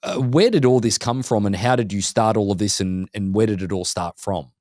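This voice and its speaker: A man hosting a podcast interview, eager and quick, often repeating words as he starts a sentence. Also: on the low side, darkish tone, no echo, clean studio sound. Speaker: podcast host.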